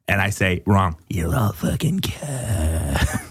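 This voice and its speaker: A smooth-voiced man hosting a podcast in a casual, joking, profane chat style. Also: sits low, big pitch swings, slow pace. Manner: profane, bantering. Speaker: podcast host.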